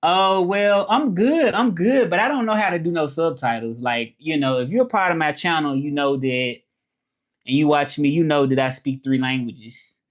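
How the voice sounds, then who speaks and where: A man's voice: fairly high pitch, normal speed. A man from Memphis with a Southern American accent, chatting casually on a live stream, repeating himself and switching between English and French as he talks to viewers. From Memphis, Tennessee, USA. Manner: repeats phrases, talks straight to viewers, switches languages mid-thought. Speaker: live streamer and language teacher.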